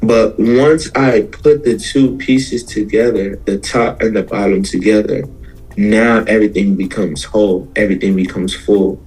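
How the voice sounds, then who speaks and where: An American man from Houston, Texas, giving casual, reflective interview answers as a musician guest, explaining himself in a halting way. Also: lowish voice, fairly even intonation, darkish tone, husky, poor audio. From Houston, Texas, USA.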